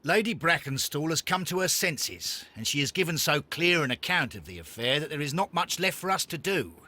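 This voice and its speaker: An actor playing a deferential, matter-of-fact Victorian police inspector in a video game, with a husky voice. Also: male voice, on the high side, expressive, brisk, bright, forceful tone. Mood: earnest, matter-of-fact. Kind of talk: scripted video game acting.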